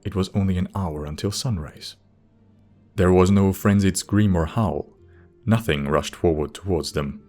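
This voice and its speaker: Deep-voiced male fantasy audiobook narrator. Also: unhurried, darkish tone, soft background music.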